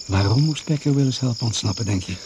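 A man's voice: mid-range voice, quick pace, lightly acted. An actor playing a shrewd, wry, fatherly older investigator in a Dutch radio drama. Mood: shrewd, probing. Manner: wry, reasoning step by step. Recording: noisy old radio play recording.

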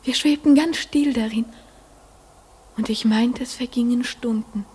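A woman acting in a German radio play as a trusting, wondering young girl, speaking in a slow, dreamy way. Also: a little high, a little mellow, husky.

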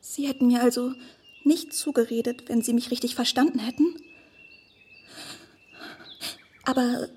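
A woman playing a naive, smitten young woman in a radio drama, earnest and effusive with a breathy delivery. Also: on the high side, steady tone, brisk, darkish tone. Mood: hopeful, hesitant. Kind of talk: German radio drama acting.

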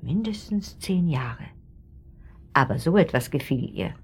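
An actress playing a gossipy, middle-aged upper-class pharmacist's wife in a German radio drama, insinuating and pointed, with trailing asides. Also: female voice, low-pitched, expressive, measured pace, slightly rough, heavily acted. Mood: catty, confiding.

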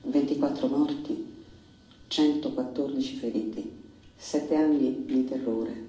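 A man's voice: mid-range voice, fairly even intonation, takes it slowly, dark tone, heavy reverb, distant mic, muffled recording. A man reading solemn scripted narration as a narrator.

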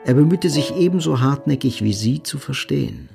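A man narrates a radio drama in a literary, scripted style. His mid-pitched voice has a noticeable gravelly creak. Faint music runs underneath. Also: animated, moderate pace.

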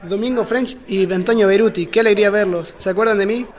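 A man's voice: sits high, fast, close to an everyday voice. A young man playing an earnest revolutionary politician in an amateur student radio play. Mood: glad. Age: teenager or young adult. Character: Mariano Moreno, earnest, suspicious revolutionary politician. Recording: busy crowd noise behind voice.

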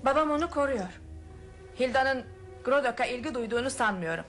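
A woman's voice: high-pitched, animated, fairly quick, a bit bright, faint music behind. A woman acting in a radio play as a composed, resolute, suspicious daughter of a wealthy household, speaking in a firm, formal way. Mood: cool, accusing.

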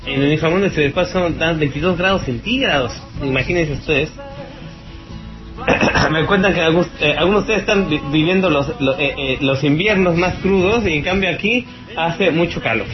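A man's voice: fairly high pitch, brisk. A man with a Mexican accent hosting a radio show, giving an informal tech-advice monologue in a colloquial, mocking, teasing manner. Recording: dull lo-fi radio stream over loud music. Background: music.

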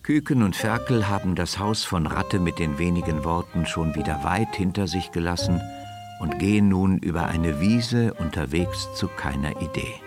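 A man narrating a children's radio play as an expressive, deliberate storyteller. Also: low-pitched. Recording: studio narration with music underneath.

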